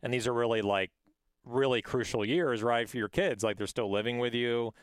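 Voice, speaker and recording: A man's voice: mid-range voice, steady tone, moderate pace, smooth, no room sound, clean studio sound. A man hosting a podcast, upbeat and encouraging, often checking in with "right?". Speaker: podcast host. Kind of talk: casual interview chat.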